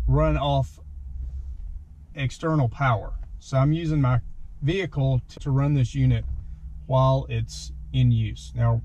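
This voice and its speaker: A man's voice: mid-range voice, darkish tone, slight background noise. A man walks through his gear setup. He is plain-spoken and unscripted, going step by step as he sits in his parked truck. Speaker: vlogger demonstrating his gear. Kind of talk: casual unscripted product walkthrough.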